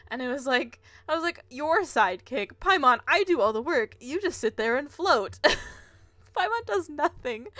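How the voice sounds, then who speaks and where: High-voiced, giggly woman streamer. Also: a bit bright. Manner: giggly, rambling. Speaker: game live streamer. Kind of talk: casual live stream commentary and chat.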